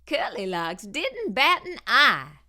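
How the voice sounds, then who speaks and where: A woman hosting a story time and reading a picture book aloud, expressive and sing-song. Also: fairly high pitch, slow pace. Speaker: storyteller host.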